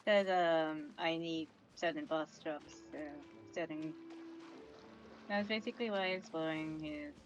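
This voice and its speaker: A woman's voice: low voice, unhurried, muffled recording, a little hiss or hum. A woman giving casual live commentary as she streams a game.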